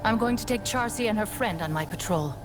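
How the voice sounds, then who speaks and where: Actress as stern, watchful warrior sister for a fantasy game; earnest, haunted, medium-pitched, over prominent score. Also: female voice, expressive, fairly quick, lightly acted. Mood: grave, concerned. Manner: grave, earnest. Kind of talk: dramatic video game voice acting. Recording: music underneath the voice. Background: game music.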